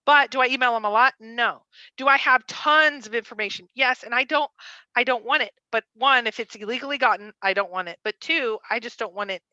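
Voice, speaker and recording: A woman's voice: a little high, brisk, pushed and bright, dry recording, highs cut off. A woman co-hosting a livestream, chatting casually and frankly, often digressing and reading out viewer comments. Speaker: livestream co-host.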